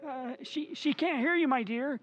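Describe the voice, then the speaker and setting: Henpecked radio-play husband, acted out: high-pitched, timid, stammering deference, with music clearly behind. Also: moderate pace, dull audio, natural voice. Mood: nervous, flustered. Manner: meek, hesitant, stammering. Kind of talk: old-time radio drama acting.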